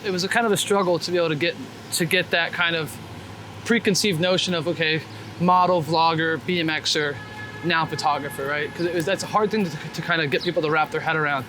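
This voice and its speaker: A man's voice: fairly high pitch, steady tone, fast. A man chatting casually and candidly in slangy, sweary talk while out walking on a city street. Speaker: guest, street photographer and former BMX vlogger. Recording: noisy city street with traffic. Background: clear traffic and street noise.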